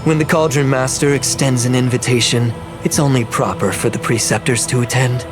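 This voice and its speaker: A proud old clan elder, played by a male actor in a fantasy video game. He speaks formally and with measured grandeur, a schemer sure of his cause, at a middling pitch over background music. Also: fairly even intonation, lightly acted. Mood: wry, brooding. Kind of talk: dramatic video game voice acting.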